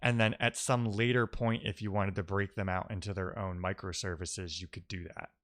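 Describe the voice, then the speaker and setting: A man's voice: low voice, little pitch movement, moderate pace, a bit bright. A man giving casual, think-aloud commentary as a live-coding streamer, often muttering to himself and trailing off.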